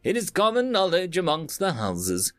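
Casting: man as formal, nervy alien highborn for space-opera storybook, raised lively pitch, emphatic delivery. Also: moderate pace, no room sound, faint music behind. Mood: bitter, insistent. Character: alien Highborn councillor, anxious, formal, distrustful of authority.

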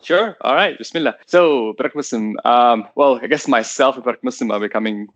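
A man from Tanzania, a podcast guest in his thirties, telling his story in a quick, animated conversational way with lots of fillers. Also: mid-range voice, dry recording. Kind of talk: conversational interview.

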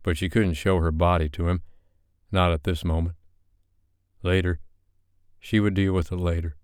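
A man's voice: deep voice, little pitch movement, normal speed, darkish tone, clear, resonant tone, no echo, clean studio sound. A man narrating a romance audiobook in a scripted, dramatic storytelling style.

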